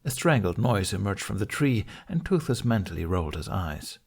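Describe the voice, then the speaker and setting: A man reading a story as an audiobook narrator, in an expressive scripted style. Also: lowish voice, normal speed, gravelly, husky.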